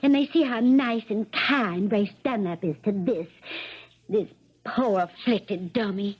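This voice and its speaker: An actress playing an anxious, gentle young country girl with hesitant rustic speech in a 1970s radio drama. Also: mid-range voice, unhurried, breathy edge. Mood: worried. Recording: muffled, noisy 1970s radio broadcast.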